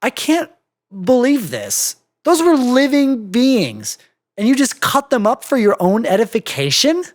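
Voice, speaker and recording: Brief: narrator acting out anxious female cartoon pony, bookish, high-pitched, swooping, stammering with incredulous squeals. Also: big pitch swings, unhurried. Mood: indignant.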